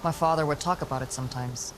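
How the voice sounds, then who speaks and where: A woman's voice: low-pitched, steady tone, quick pace, noisy background, lightly acted. Woman acting a determined, terse young adventurer woman. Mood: resolute. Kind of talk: dramatic video game acting.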